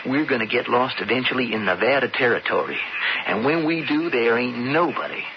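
Actor as earnest young cowboy outlaw, muffled recording. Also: male voice, mid-range voice, lively intonation, a little fast, close to an everyday voice. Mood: determined, confiding. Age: young adult. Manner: folksy Western drawl phrasing, earnest and insistent. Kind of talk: old-time radio drama acting. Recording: muffled, noisy old radio recording.

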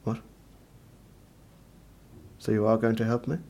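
Gravelly, sceptical reluctant politician. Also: male voice, fairly low pitch, flat delivery, unhurried, mellow. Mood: sceptical, dry. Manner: dry, hesitant, incredulous. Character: wary, sardonic reluctant male political party leader. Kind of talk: audio comedy drama acting.

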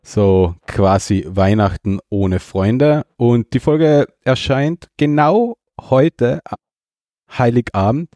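A man's voice: medium pitch, slow pace, warm tone, no echo, clean studio sound. A man with an Austrian accent hosting a podcast in casual, rambling, jokey chat. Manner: jokey, self-mocking. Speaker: podcast host.

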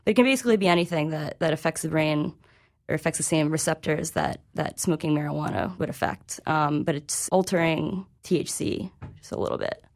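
Woman, low pitch, vocal fry, conversational reporter, hesitant informal interview delivery. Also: lively intonation, brisk. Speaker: staff writer, reporter interviewed as guest. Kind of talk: informal radio interview explanation.

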